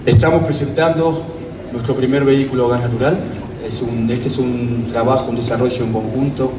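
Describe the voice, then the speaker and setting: A man's voice: medium pitch, noisy background. Middle-aged man presenting in echoey hall. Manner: measured, fact-heavy, frequent "¿sí?" check-ins. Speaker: company executive presenting at a trade show. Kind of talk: corporate presentation speech. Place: large indoor exhibition hall. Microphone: handheld microphone. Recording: echoey hall, taped from a distance.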